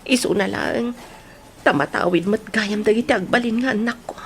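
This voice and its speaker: A woman's voice: mid-range voice, moderate pace, slight background noise, lightly acted. A woman playing an anxious, protective mother in an Ilocano radio drama, speaking emotionally and pleadingly with an Ilocano accent. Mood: sorrowful. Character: anxious, protective mother pleading over her sick sons.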